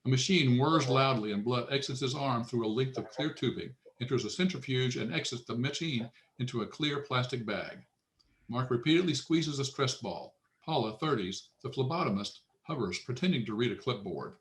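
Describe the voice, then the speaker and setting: A man's voice: medium pitch, moderate pace, slight background noise. Man reading screenplay stage directions. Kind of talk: scripted narration, read aloud.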